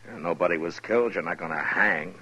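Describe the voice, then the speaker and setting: An actor playing a gruff, world-weary but fair frontier marshal who also narrates a 1950s radio western. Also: male voice, sits low, a little fast, bright, forceful tone, full character voice. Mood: calmer, fair. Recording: muffled old radio recording.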